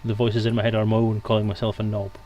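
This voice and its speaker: A man's voice: on the low side, completely flat delivery, fast, mellow, muffled recording, slight background noise. A man hosting a casual stream chat with dry, self-mocking humour.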